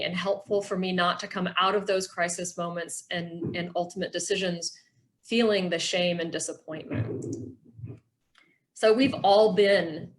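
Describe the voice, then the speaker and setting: A middle-aged woman presenting a workshop with personal reflection, explaining things in an earnest, measured way. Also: on the low side, slight background noise.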